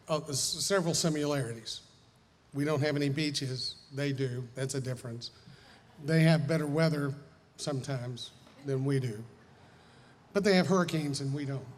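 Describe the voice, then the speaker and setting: Southern guy, lawyer doing a folksy seminar talk, kinda high voice, big echoey room with noise. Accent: Southern American. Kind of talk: informal lecture with audience questions. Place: large hall, speaking to an audience. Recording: echoey hall, noisy background.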